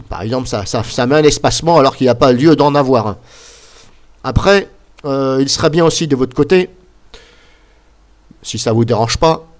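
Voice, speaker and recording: A man with a slight cold, talking casually over a screen recording as a YouTuber, rambling and pointing things out with lots of "bah" and "voilà". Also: medium pitch.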